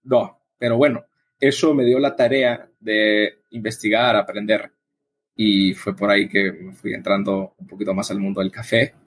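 Husky-voiced man explaining conversationally. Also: on the low side, level tone, moderate pace. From El Salvador. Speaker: interview guest, coffee event organizer. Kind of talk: conversational interview answers.

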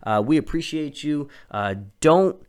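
A man's voice: on the high side, expressive, unhurried, a little mellow, clean tone. A young man co-hosting a casual podcast, slightly nasal, giving emphatic motivational advice about work and sales. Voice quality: a little nasal. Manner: motivational, emphatic, repeats key words. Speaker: podcast co-host.

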